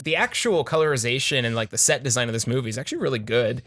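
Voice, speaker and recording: A man's voice: medium pitch, animated, racing, a bit bright. A man hosting a casual, comedic movie recap in informal, slangy language with plenty of swearing. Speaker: podcast host.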